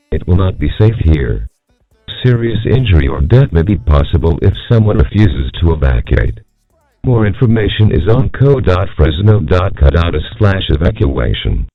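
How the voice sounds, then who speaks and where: A synthetic man's voice reads an emergency warning in a flat, mechanical way. It sits very low, but the pitch jumps strangely from word to word. The sound is thin, dry and phone-like, with music faintly underneath. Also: vocal fry, dry recording. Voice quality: flat, mechanical text-to-speech. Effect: computer voice. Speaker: emergency alert voice. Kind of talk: synthetic alert announcement. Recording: tinny, phone-like dry audio.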